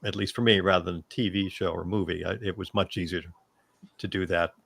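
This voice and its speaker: Low-voiced man hosting a panel. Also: fairly even intonation, fairly quick, gravelly, fairly bright tone. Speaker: panel host. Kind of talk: casual panel discussion.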